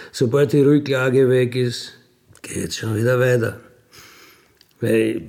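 A man's voice: mid-range voice, gravelly, a little mellow. A middle-aged man with an Austrian accent tells his own story casually, in a matter-of-fact, unapologetic, colloquial way. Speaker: former burglar telling his life story. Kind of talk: casual first-person storytelling in a radio feature.

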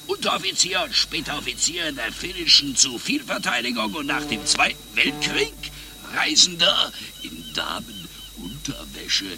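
An actor playing a melodramatic agent giving a conspiratorial briefing in a satirical radio play, now hushed, now emphatic. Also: male voice, a little high, huge pitch swings, moderate pace, vocal fry, music in the background, exaggerated voice. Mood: conspiratorial, awed. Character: melodramatic male agent briefing on a feared master criminal.

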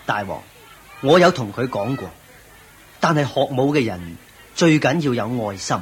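A man's voice: mid-range voice, sing-song, moderate pace, a little hiss or hum, close to an everyday voice. A man with a Hong Kong Cantonese accent playing a loyal, respectful martial arts master at court in a radio drama, formal and earnest. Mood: earnest, firm.

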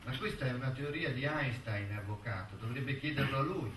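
An actor playing a witty, defiant intellectual on trial, speaking with dry, measured irony in theatrical courtroom dialogue. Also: male voice, mid-range voice, fairly bright tone, lightly acted. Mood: dry, ironic. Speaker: stage actor. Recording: roomy stage sound, distant mic, faint noise.

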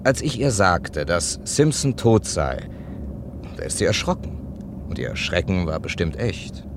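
Deep-voiced, hesitant gentleman sleuth. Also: big pitch swings, unhurried, background noise, exaggerated voice. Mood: pensive, doubtful. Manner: thoughtful, hesitant, courteous. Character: gentle, hesitant male amateur sleuth and narrator. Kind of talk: German radio crime play acting.

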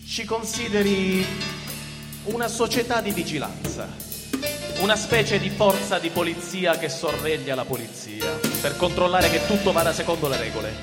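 Commanding secret agent over loud drums. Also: male voice, high-pitched, expressive, moderate pace. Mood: commanding, urgent. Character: mysterious male secret vigilance agent, commanding, terse. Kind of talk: radio drama acting. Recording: reverberant hall, loud music over voice. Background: loud drums and music.

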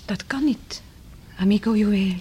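An actress plays a poised lady in a radio drama. Her medium-pitched voice is formal, courteous and faintly arch, keeping its guard up. A little noise sits behind her. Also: a little slow, dark tone, lightly acted. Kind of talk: Dutch radio drama dialogue.

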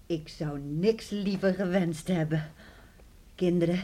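A woman playing a gentle, pious, contented middle-aged country mother in a radio play. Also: on the low side, takes it slowly. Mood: gently devout. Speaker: actor in a radio drama. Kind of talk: Dutch radio play acting.

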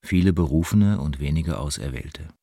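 A man reading a literary text aloud as scripted narration, in a measured, formal way. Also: very deep voice, warm tone, slightly rough, dry recording, studio quality. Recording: music playing behind the voice. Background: music.

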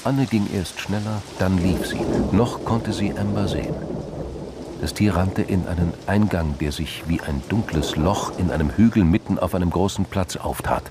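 Very deep-voiced male narrator. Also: moderate pace, noisy background. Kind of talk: scripted radio crime drama narration.

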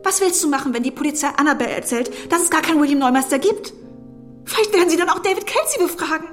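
A woman's voice: sits high, quick pace, soft background music, lightly acted. An actress in a German radio drama playing an anxious, devoted young woman in love, hesitant and pleading yet persistent. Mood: pleading, confrontational.

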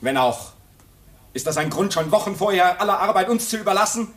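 A man's voice: high voice, lively intonation, a little fast, a bit bright. A man in his twenties acting in a 1950s radio drama as a restless young station worker, impatient and sarcastic, his voice climbing when he gets worked up. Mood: bitter. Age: 20s.

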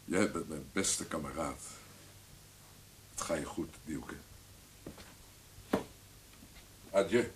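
A man's voice: very deep voice, a little slow. An actor playing a heartbroken, guilt-ridden man with emotional, self-pitying delivery in a radio play. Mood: weary. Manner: emotional, self-pitying, swinging from subdued to agitated. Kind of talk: Dutch radio play acting. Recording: hissy old radio play recording.

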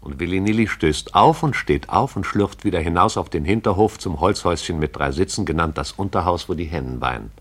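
Radio drama narrator: low voice, lyrical and measured literary storytelling. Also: male voice, lively intonation. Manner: measured, poetic delivery. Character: lyrical male storyteller narrating a small town's morning.

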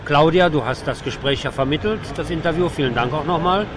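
A man's voice: fairly high pitch, brisk, background noise. A man working as a radio host, asking questions in a casual on-location interview.

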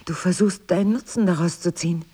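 An actress playing a cool, teasing, evasive wife in an intimate audio drama, speaking with measured, coy irony. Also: female voice, lowish voice, dark tone, husky. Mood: guarded, grave. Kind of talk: intimate two-person audio drama acting.

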